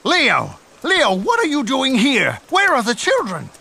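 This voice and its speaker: A man's voice: very high-pitched, huge pitch swings, unhurried, vocal fry, pushed and bright, slightly rough, full character voice. A man voicing an old retired male elephant uncle, fretful, tired and loyal. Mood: worried. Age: older.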